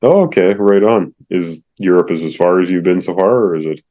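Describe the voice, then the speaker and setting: A man hosting an interview podcast, with a very low voice and a friendly, affirming manner. His talk is full of small fillers. The recording sounds slightly dull and lo-fi. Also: a little fast. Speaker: podcast host.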